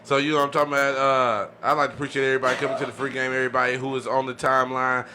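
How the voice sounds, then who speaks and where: A man hosting a podcast in an informal, slangy way, chatting casually and handing out shout-outs. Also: mid-range voice, flat delivery, rapid-fire, background noise. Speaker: podcast host.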